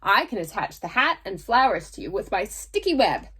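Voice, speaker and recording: A woman's voice: mid-range voice, very animated, normal speed, sharp tone, slightly rough, voice pushed down, exaggerated voice. A woman voicing a helpful but sly female spider in a picture book, obliging and coy.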